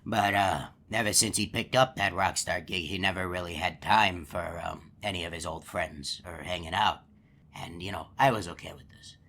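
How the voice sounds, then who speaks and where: An easygoing hippo animatronic, voiced by a man, deep yet bright and forward in tone. He rambles through a folksy yarn, peppered with uh and you know. A fan-game character monologue. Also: moderate pace, exaggerated voice. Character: chatty male hippo animatronic, easygoing storyteller.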